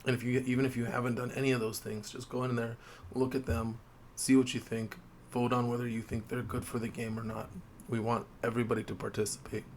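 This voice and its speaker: A man with a sore throat and a slightly hoarse voice, hosting a tabletop game video and explaining things quietly to viewers with lots of ums. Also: flat delivery, fast talker, slight background noise. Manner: quiet, low-key delivery with frequent fillers. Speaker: host of a tabletop game channel. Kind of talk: casual explainer talk to viewers. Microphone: desk mic with gain turned up.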